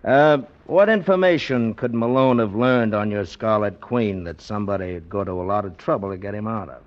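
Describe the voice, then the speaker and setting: A man's voice: on the low side, a little fast, close to an everyday voice. A man playing a shrewd, dogged police investigator, probing and dryly sarcastic, in 1940s radio drama style. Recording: muffled 1940s radio recording.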